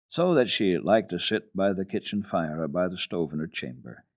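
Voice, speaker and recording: A man reading children's literature as a radio storyteller, in an expressive, dramatic style. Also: low voice. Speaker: storyteller, radio program host.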